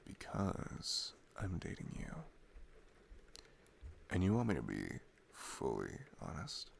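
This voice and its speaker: Casting: man with a low, gravelly voice as a tender, sincere boyfriend in intimate ASMR, soft and hesitant, faint noise behind. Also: huge pitch swings. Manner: soft, hesitant, tender, pausing between phrases. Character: affectionate, sincere, reflective boyfriend. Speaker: audio roleplay performer playing a boyfriend.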